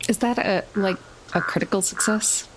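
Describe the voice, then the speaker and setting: A woman's voice: mid-range voice, moderate pace. A woman playing a tabletop roleplaying game, chatting casually at the table. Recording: noisy, rain-like background hiss.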